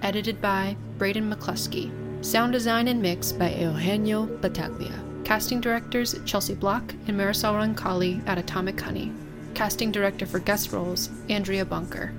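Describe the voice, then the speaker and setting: A woman with a mid-pitched voice reads scripted credits as an announcer. Music runs clearly behind her. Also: fairly even intonation, moderate pace.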